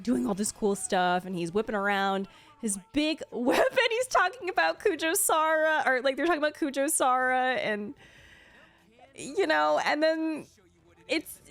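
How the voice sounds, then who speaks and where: Expressive woman streamer over background music. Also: mid-range voice. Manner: gushing, expressive, conversational. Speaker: streamer ranking game character demos. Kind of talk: casual reaction commentary. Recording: music playing behind the voice.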